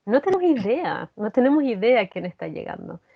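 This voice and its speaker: A woman's voice: fairly high pitch, very animated, a little fast, breathy edge, dry recording. A woman with a Chilean Spanish accent, talking casually and earnestly as an interview guest. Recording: dull, muffled lo-fi line.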